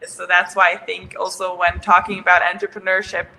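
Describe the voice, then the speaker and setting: A woman's voice: lowish voice, little pitch movement, brisk, pushed and bright, poor audio. A woman in her thirties who grew up in Switzerland, chatting on a video call as a guest, laughing often and restarting her sentences. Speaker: startup founder, guest. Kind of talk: casual interview on a video call. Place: home room, indoors. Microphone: video call webcam.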